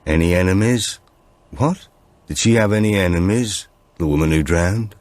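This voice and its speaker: A man's voice: low voice, moderate pace, darkish tone, no echo, voice pushed up, natural voice. A man voicing an elderly, reflective great-uncle in an audiobook thriller. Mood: inquisitive.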